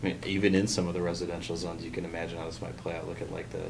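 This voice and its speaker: A man's voice: deep voice, level tone, racing, creaky. A man talking through examples and reasoning in an explanatory way during a meeting discussion. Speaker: public meeting participant. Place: indoor meeting room. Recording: noisy meeting room recording.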